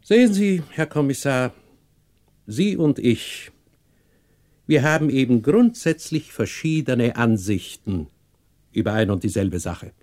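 A man acting a stern, proud, unforgiving older patriarch in a German radio play, cold, sarcastic and measured. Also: mid-range voice, animated, darkish tone, natural voice. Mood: cold, haughty.

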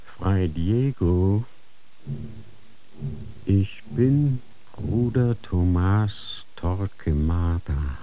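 An actor playing a stern elderly grand inquisitor in a radio drama, grave and commanding. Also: male voice, sits low, lively intonation, extremely slow, long pauses, mellow, heavily acted, poor audio.

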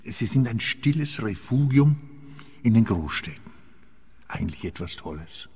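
A brooding, melancholy fugitive in long church-like reverb. His low voice is dark and husky, Swiss-accented, with a halting, reflective radio-play delivery. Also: sing-song, exaggerated voice. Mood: musing. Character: weary, melancholy, brooding male fugitive client. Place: scene set in echoing church.

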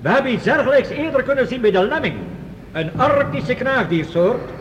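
A man's voice: extremely high pitch, expressive, normal speed. An actor playing a grave, urgent male doctor who addresses an assembly in solemn, declamatory radio play style. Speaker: official addressing delegates. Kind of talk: Dutch radio play acting, formal oratory. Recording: dull, hissy recording in long hall reverb.

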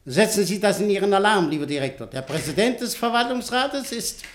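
An actor playing a scheming industrialist who bosses and flatters people, in a radio play. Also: male voice, high voice, brisk, a little hiss or hum. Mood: pushy. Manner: effusive, bossy, lively. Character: scheming big industrialist, smooth persuader. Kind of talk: 1950s radio play acting.

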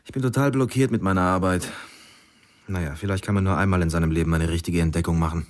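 A man acting a deep-voiced, pompous, witty intellectual. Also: steady tone, fast, close to an everyday voice. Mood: defensive. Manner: grandiloquent, mock-pompous. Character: theatrical, witty, self-pitying intellectual man in his thirties. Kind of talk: radio drama acting.

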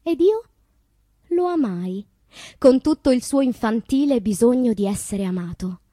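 An actress playing a tormented, wistful woman poet in a theatrical monologue, dramatic and deliberate, with a breathy, husky voice. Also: fairly high pitch, animated, no room sound, clean studio sound. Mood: wistful, intense. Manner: dramatic, slow deliberate delivery with hushed passages. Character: tormented woman poet recalling destructive loves, wistful and intense.

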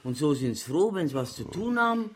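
A woman's voice: low-pitched, very animated, fairly quick, breathy edge, heavily acted. Bossy pub landlady, Bavarian accent, blunt, outspoken, matter-of-fact, radio crime drama. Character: bossy, outspoken German woman in charge of a pub.

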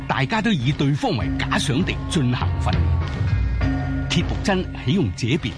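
A man's voice: a little high, sing-song, unhurried. A man with a Cantonese accent narrating a scripted historical tale as a dramatic storyteller. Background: prominent music.

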